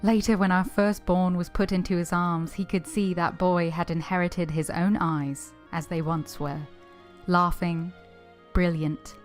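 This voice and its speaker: A woman's voice: lowish voice, steady tone, a little slow, faint music behind, natural voice. A woman playing an earnest, tender former governess, acting and narrating her own story in a radio drama. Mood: reflective. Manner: earnest, gentle, heartfelt.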